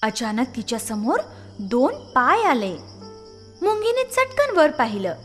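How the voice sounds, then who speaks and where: A woman narrating a scripted children's story as an expressive storyteller. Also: high voice, takes it slowly. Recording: long reverb, music behind. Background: music.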